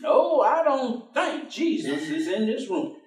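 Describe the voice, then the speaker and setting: An actor in a staged play reading, playing a warm, stubborn, devout working-class man with a folksy, teasing manner. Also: very high-pitched, sing-song, heavily acted. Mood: stubborn, sly. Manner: folksy, teasing, with chuckles and drawn-out phrases. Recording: roomy echoing stage, distant microphone.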